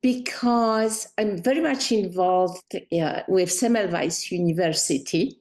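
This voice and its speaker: A woman's voice: fairly low pitch, takes it slowly. A woman co-host jumping in eagerly with a question. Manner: eager, excited interjections.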